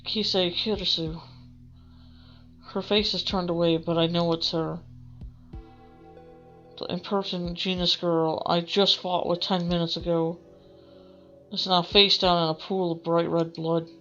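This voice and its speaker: Low, even-toned woman reading aloud. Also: measured pace, long pauses, lo-fi sound. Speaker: gamer doing a let's play. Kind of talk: reading visual novel narration aloud with casual asides. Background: game music.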